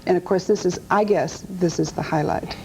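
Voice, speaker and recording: A woman's voice: lowish voice, normal speed, vocal fry, warm tone, a little hiss or hum, poor audio. A woman hosting a TV talk show, asking her guest interested questions. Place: TV studio.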